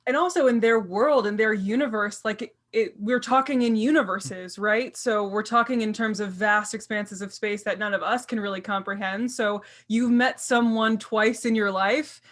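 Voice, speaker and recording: A woman's voice: mid-range voice, steady tone, normal speed, few pauses. A woman talking casually as a panelist in a fan discussion of a TV series. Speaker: podcast panelist.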